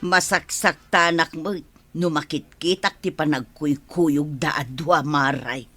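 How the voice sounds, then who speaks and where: A woman with an Ilocano accent playing an excitable, giggly village gossip with drawn-out exclamations in a comedy radio drama. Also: sits low, faint music behind, exaggerated voice. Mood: dramatic, lamenting.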